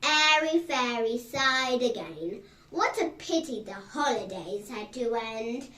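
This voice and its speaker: Female storybook reader, very high pitch, deliberate delivery, distant roomy recording. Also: lively intonation, sharp tone. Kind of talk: children's story read aloud. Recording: roomy echo, distant from the mic.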